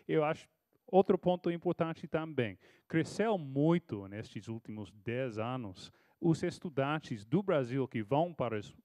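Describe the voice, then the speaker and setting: A man's voice: mid-range voice, darkish tone, no echo. A man in his thirties from Texas, a native English speaker with an American accent, telling a story on a university panel in a hesitant way, with many restarts. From Texas, United States. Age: 30s. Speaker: panel speaker, foreign correspondent. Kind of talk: panel talk answering a question.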